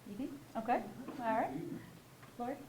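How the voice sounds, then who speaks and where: A woman giving a public lecture in a university setting. She keeps it animated, stopping to pose questions to the audience. A faint hiss runs beneath her voice. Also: fairly high pitch, moderate pace. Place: lecture hall.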